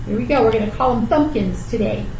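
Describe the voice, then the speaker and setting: High-voiced woman leading children's storytime, echoey room. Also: animated, fast talker, darkish tone. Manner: simple, encouraging talk addressed to small children. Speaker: library storytime host. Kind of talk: children's storytime, reading and teaching finger rhymes. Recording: roomy, distant microphone with background noise.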